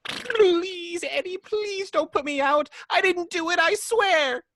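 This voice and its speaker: A woman's voice: very high voice, slow pace, no echo, full character voice. A woman voicing a frantic, pleading, naive male cartoon rabbit in an amateur table read of a film script. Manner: pleading, excitable.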